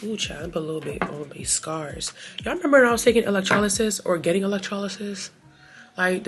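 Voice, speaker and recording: An American woman with a cold chatting casually and playfully in slang, with self-deprecating asides, like a beauty vlogger getting ready. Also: fairly low pitch. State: has a cold. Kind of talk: casual get-ready-with-me chat.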